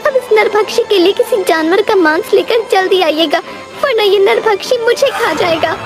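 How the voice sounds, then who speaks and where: Woman playing a high-pitched, worried nagging wife. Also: moderate pace, music in the background. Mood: scared, reproachful. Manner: complaining, anxious, high-voiced. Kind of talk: acted children's moral story.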